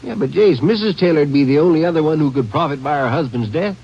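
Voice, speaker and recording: An actor playing a plain-spoken, questioning county sheriff with a husky voice in old-time radio style. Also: male voice, a little high, fast. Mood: puzzled, curious. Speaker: actor in a radio crime drama. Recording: dull vintage radio recording.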